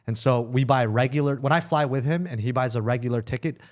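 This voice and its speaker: A man chats casually as part of a podcast conversation. His voice sits at an average pitch, and he speaks in loose, colloquial phrases. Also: fast talker. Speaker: podcast participant. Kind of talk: casual chat.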